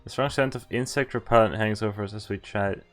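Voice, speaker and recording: Clear, low-voiced man streaming a visual novel. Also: a little fast. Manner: playful, chatty, digressive. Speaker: game streamer. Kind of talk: reading a visual novel aloud with casual commentary. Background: game music.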